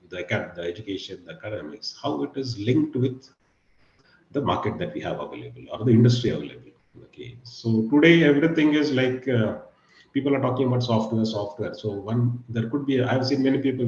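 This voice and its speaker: A middle-aged man with a husky, low voice giving an online guest lecture, pausing often with fillers and "okay". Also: darkish tone, reverberant, dull audio. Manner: hesitant, many fillers and "okay". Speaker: guest speaker. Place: video call.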